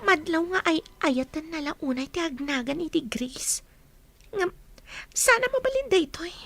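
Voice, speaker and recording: High-pitched scheming mistress played by a woman. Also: expressive, normal speed, gravelly, fairly bright tone, faint music behind, full character voice. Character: scheming young mistress, gloating and covetous. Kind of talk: radio drama acting.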